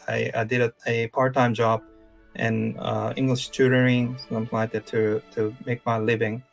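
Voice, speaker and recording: A man in his thirties from South Korea, speaking English with a Korean accent as a career coach giving a live-streamed lecture, halting with many fillers and restarts. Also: mid-range voice, creaky.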